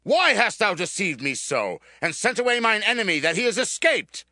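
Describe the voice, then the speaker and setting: Wrathful biblical king, forceful, piercingly sharp, fairly high, solemn archaic diction, dry studio sound. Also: very animated, normal speed, no echo, heavily acted. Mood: angry, accusing. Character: wrathful, commanding jealous king of ancient Israel. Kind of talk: dramatized scripture acting.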